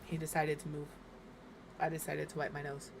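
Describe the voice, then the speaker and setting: A woman from Minnesota streaming from home, chatting casually and rambling, her voice slightly hoarse and crackly as it recovers. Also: low-pitched, monotone, normal speed. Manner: rambling, chatty, self-deprecating. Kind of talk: casual chat while gaming.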